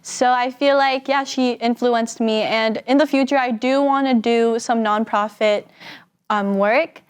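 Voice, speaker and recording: Seventeen-year-old woman, pageant guest, upbeat chatty delivery, higher voice. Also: steady tone, a little slow, clean tone. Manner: upbeat, quotes her own thoughts, says "like". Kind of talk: casual interview answers.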